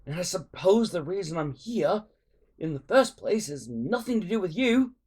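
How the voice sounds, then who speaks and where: A woman's voice: fairly low pitch, big pitch swings, moderate pace, darkish tone, husky, pitched above the performer's own voice. Woman doing a sarcastic, exasperated Viking boy. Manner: sarcastic, grumbling.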